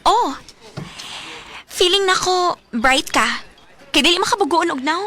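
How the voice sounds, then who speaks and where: A woman's voice: very high-pitched, expressive, unhurried, pushed and bright, heavily acted. An actress playing a frank, teasing young female college student in a radio drama. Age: young adult. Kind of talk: Filipino radio drama acting.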